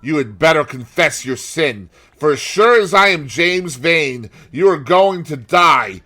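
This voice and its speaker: A man voicing a grim, vengeful sailor in an audiobook, growling threats in a forceful, menacing way. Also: fairly high pitch, expressive, a little slow, voice pushed up, exaggerated voice. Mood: threatening, urgent.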